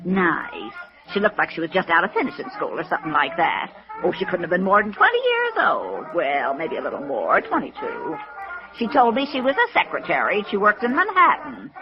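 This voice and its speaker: A woman's voice: fairly low pitch, wildly swooping pitch, fairly quick. Wildly swooping, gossipy landlady. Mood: disapproving. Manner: indignant, gossipy, emphatic. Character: talkative, disapproving, gossipy landlady. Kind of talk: 1950s radio drama acting. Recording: dull old radio recording.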